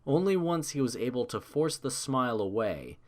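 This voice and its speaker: A man's voice: mid-range voice, very animated, moderate pace. A man narrating a scripted fantasy story in a dramatic audiobook style.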